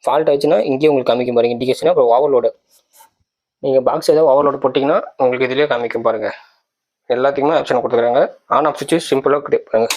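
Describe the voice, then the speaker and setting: Fast-talking man presenting. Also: medium pitch, fairly even intonation, darkish tone. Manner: explanatory, repeatedly checking listeners follow. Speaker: tech channel presenter. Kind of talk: casual product walkthrough.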